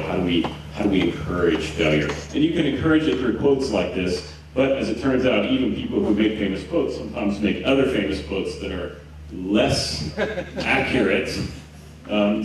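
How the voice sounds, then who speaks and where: A man in his forties from Houston gives a loose, joking festival talk, stumbling over restarts and fillers. His voice sits fairly low and comes from a distance, washing around a big echoing tent. There's a light hiss of ambience underneath. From Houston, Texas. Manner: chatty, jokey, lots of fillers and restarts. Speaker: conference speaker. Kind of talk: live conference talk. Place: large tent at an outdoor festival. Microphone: handheld mic. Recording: roomy tent captured from a distance.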